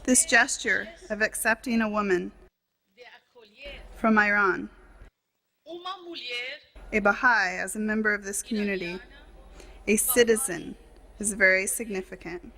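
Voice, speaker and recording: A woman reading a translated tribute and speech as a voice-over, in measured, formal phrasing with short clauses. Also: medium pitch, fairly even intonation, bright, forceful tone, rough recording.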